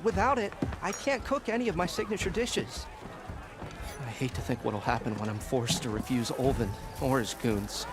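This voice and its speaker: An actor playing a fussy, put-upon but polite camp cook in a video game, with formal, wordy speech. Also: male voice, medium pitch, expressive, normal speed, close to an everyday voice. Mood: courteous, harried. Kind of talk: video game dialogue acting.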